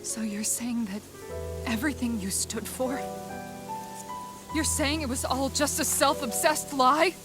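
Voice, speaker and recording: A woman's voice: sits high, steady tone, a little slow, pauses often, music in the background. A woman voicing a fierce young captain in a dramatic video game, grieving and betrayed, with raw intensity. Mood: hurt disbelief.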